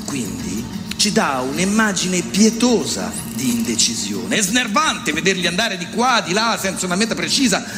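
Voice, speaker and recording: A man giving an expressive, pausing dramatic reading of an essay on a radio show. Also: sits high. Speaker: reader. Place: radio studio. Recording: radio broadcast with music behind.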